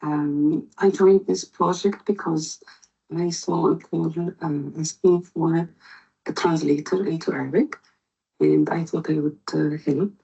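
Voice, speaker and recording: A woman from Egypt, working as an interpreter, sharing a personal story in a slow, reflective way with many hesitations. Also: sits low, steady tone, gravelly, mellow, slightly rough, muffled recording. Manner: reflective, halting with fillers. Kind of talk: personal testimony video.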